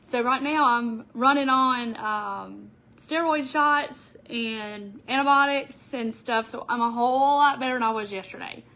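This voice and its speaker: A woman's voice: on the high side, a little slow, bright, forceful tone. A middle-aged woman hosting a casual craft livestream from an indoor craft room, sounding nasal from a cold and greeting viewers by name. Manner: chatty, greets viewers by name as she goes. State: stuffy nose from a summer cold. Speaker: craft show host. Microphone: camera on the table in front of her.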